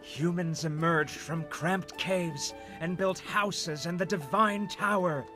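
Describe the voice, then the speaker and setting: A man's voice: high voice, slow pace, music in the background. A man playing a young poet in a game, reciting hymns to a goddess in a reverent, lyrical manner.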